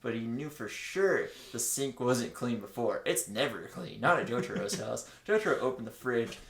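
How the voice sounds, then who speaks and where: A man's voice: mid-range voice, animated, normal speed, vocal fry. A man co-hosting a casual comedic read-aloud of fan fiction, stumbling over words and correcting himself as he goes. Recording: roomy, echoey home recording.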